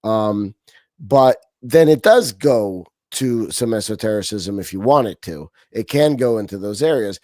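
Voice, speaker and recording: Animated man from Romania, dry sound. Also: fairly low pitch, unhurried, no room sound. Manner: animated, frequent restarts and fillers. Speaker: podcast guest. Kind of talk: casual interview talk.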